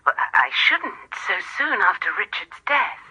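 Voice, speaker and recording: Coy widow, bright phone sound. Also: female voice, mid-range voice, sing-song, normal speed, slightly rough, exaggerated voice, poor audio. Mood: coy, hesitant. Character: contrite, coy wealthy widow on the telephone. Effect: telephone filter. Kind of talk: radio drama acting.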